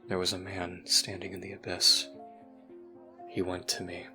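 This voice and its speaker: Man acting a troubled teenager, very low halting voice. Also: monotone, over music, close to an everyday voice. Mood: hushed, regretful. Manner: halting, confessional, trailing off. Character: troubled, self-blaming human teenager confiding in a friend. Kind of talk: audio roleplay voice acting.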